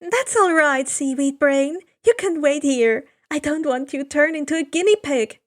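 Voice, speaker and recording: A woman's voice: sits high, a little slow, pitched above the performer's own voice. A woman voicing a confident, teasing young demigod girl with a light, assured manner in a fan fiction reading.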